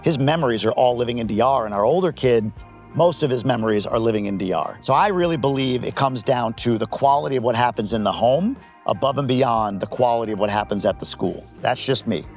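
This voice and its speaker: A man's voice: medium pitch, brisk, faint music behind. A man in his forties from the United States, talking candidly and with jokes, casually to camera as a vlogger. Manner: candid, joking, conversational.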